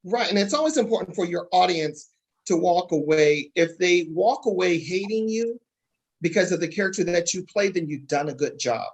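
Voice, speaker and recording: A man's voice: high-pitched, lively intonation, moderate pace, breathy edge. A man hosting a casual panel interview, often chiming in with affirming words. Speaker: host interviewing stage play cast.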